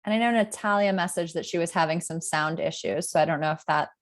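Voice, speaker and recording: Woman, online meeting host, lower register, informal facilitator style. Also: fast. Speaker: host of a virtual alumni gathering. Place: video call.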